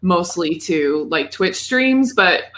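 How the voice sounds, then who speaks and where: A woman's voice: fairly low pitch, expressive, slow pace, fairly bright tone. A woman co-hosting a podcast, chatting casually about video games in an informal, rambling way. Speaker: podcast co-host.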